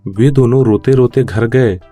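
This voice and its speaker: A man's voice: mid-range voice, a little slow, warm tone, faint music behind. A man narrating a scripted moral story for children.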